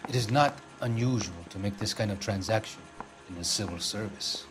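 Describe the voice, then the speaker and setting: A man's voice: fairly low pitch, normal speed, lightly acted. A male actor playing a haughty, indignant Argentine consul general with a Spanish-speaking Latin American accent and formal, imperious diction in a video game. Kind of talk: dramatic video game acting. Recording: background music under dialogue.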